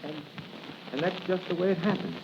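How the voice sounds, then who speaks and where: An actor playing a frightened, earnest young bank clerk in a 1940s radio drama, pleading and stammering. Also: male voice, high-pitched, fairly even intonation, a little fast. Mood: subdued, earnest. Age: young adult. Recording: dull, noisy vintage radio broadcast.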